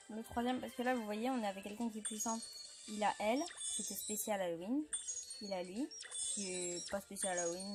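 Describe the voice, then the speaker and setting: A woman with a cold and a dry throat, rambling and apologizing as she comments on her mobile game. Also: medium pitch. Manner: chatty and rambling, often apologizing. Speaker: gaming channel host. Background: game music.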